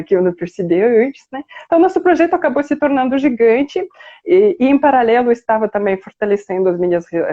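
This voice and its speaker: Woman with a dull, mellow voice. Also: a little high. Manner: conversational, many fillers and self-corrections. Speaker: guest researcher. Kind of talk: live video interview.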